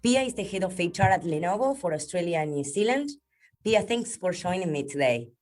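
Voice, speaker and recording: Female podcast host, very low voice, encouraging, faint music behind. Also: animated, fairly quick, darkish tone. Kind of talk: hosted interview.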